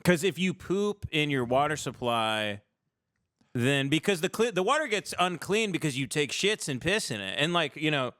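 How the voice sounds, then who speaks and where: A man's voice: fairly high pitch, sing-song, moderate pace, fairly bright tone, no echo, studio quality. A man hosting a livestream in a casual comedic chat, rambling through irreverent, profane stories. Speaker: livestream show host.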